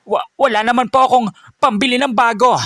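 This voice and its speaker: A man's voice: very high voice, fairly even intonation, brisk, fairly bright tone, no echo, studio quality, pitched above the performer's own voice. A man voicing a frightened old tricycle driver who stammers and begs. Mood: pleading. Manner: begging, stammering.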